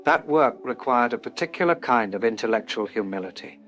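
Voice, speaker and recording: A man playing a reflective scientist, a lone survivor recording a measured, philosophical log for an audio drama. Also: medium pitch, expressive, fairly bright tone, soft background music, natural voice. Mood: somber, reflective. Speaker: doctor and researcher.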